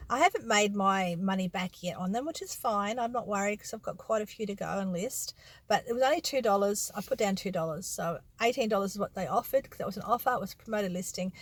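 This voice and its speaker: A woman's voice: medium pitch, no room sound. A middle-aged woman with an Australian accent talking casually to her viewers, rambling and correcting herself as she goes. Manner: rambling, self-correcting, colloquial. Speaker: vlogger and secondhand reseller. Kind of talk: casual chatty vlog monologue.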